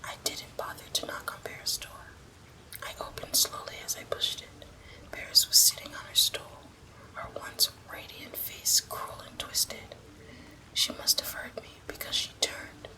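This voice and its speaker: A woman narrating a horror story as ASMR in a breathy, unvoiced whisper, with hushed, deliberate delivery. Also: rough recording.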